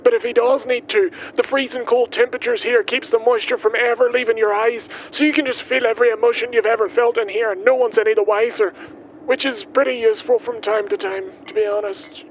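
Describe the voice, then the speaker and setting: An actor playing a kindly, guileless milkman in an audio drama, rambling haltingly as he records his will. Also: very high-pitched, fairly even intonation, rough recording. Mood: fond, wistful. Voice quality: hesitant, halting delivery. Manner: chatty, guileless, wandering off on tangents. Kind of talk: audio drama monologue, spoken as a voice diary. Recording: faint vehicle noise behind.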